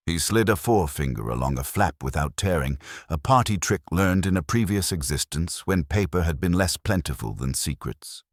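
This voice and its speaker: Very deep, husky male story narrator. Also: expressive, no room sound. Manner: dry, arch, measured. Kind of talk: scripted narration of a wry mystery story.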